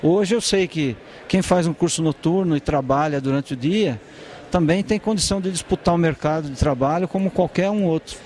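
A man in his forties, an engineering student who runs his own business, speaking in a measured, hesitant way into a handheld mic in a university corridor. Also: a little high, darkish tone, a little hiss or hum. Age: 40s. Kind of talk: documentary interview.

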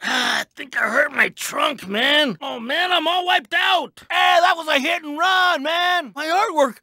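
An actor voicing a proud, laid-back lowrider car in a video game, with slangy, drawn-out street talk. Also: male voice, bright, forceful tone, dry recording, raised voice, studio quality, full character voice. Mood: upset. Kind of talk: animated video game voice acting.

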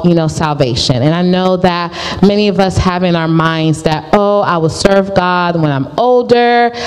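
Lady teaching preteens at church, lowish voice, hall echo. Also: clean tone. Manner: earnest, repeats words. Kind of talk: youth class teaching. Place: church stage. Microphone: handheld mic.

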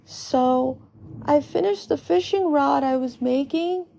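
A woman's voice: sits high, takes it slowly, creaky, dark tone, a little hiss or hum, pitched above the performer's own voice, full character voice, poor audio. A woman voicing a friendly, earnest, polite young boy in storybook dialogue.

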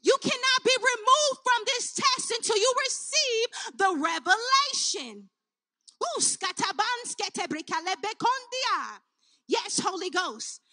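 A woman with a very high, bright, forceful voice leads a fervent prayer, crying out and breaking with emotion as she slips into tongues. She draws her phrases out slowly, then rushes through others. The sound is dry and close. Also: dry recording. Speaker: prayer leader.

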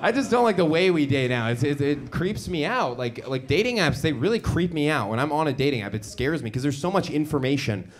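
A young man in his twenties performing a stand-up comedy set in a small club, talking into a handheld mic with quick, confident storytelling. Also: a little high, animated, some chatter behind, slight background noise. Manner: brisk, confident storytelling with quick asides and acted-out quotes. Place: small comedy club, indoors, with live audience. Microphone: handheld stage mic. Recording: live club show with loud laughter. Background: loud audience laughter.

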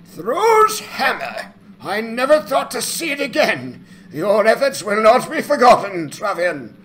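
A man's voice: high voice, huge pitch swings, measured pace, sharp tone, voice pushed up, full character voice. A man acting a proud, aggrieved old dwarf lord in a fantasy game dramatization, with a weighty, dramatic manner. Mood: amazed, grateful.

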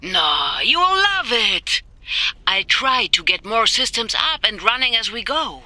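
Plucky young engineer, lively. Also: female voice, fairly high pitch, unhurried, no room sound, full character voice. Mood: cheeky. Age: young adult. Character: plucky, cheeky young German female mech engineer. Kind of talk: video game cutscene acting.

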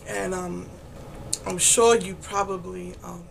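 A woman's voice: fairly low pitch, steady tone, takes it slowly, gravelly, slight background noise. A middle-aged woman, still congested and getting over laryngitis, chatting her way through a makeup tutorial with many ums, close to the camera in a small room. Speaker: makeup vlogger. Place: indoors, small room against a pale blue wall. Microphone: camera close to the face.

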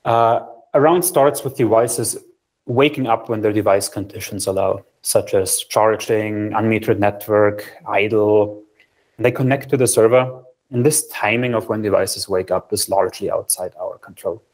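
A young man presenting a technical platform over a video call, steady and explanatory with frequent ums, in a small room. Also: lowish voice, normal speed. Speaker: software engineer presenting a research platform. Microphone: video call, webcam framing.

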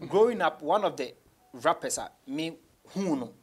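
A young Ghanaian man, a rapper being interviewed, talking casually in a husky voice and mixing English with Twi. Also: on the high side, lively intonation, moderate pace. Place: small radio studio office.

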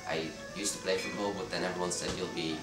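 Deep-voiced young man in a bar. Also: quick pace. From Belgium. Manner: relaxed, hesitant, frequent restarts. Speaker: interviewee. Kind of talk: casual interview answers. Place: dim bar with red walls, indoors. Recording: roomy, distant sound with loud music behind.

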